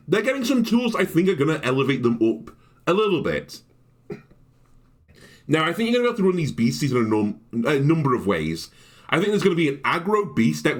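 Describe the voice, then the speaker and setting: A man rating cards for a card game, sounding sick with a slightly deeper, raspy voice and clearing his throat now and then. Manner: clears throat, thinks aloud with ums. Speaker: card game content creator.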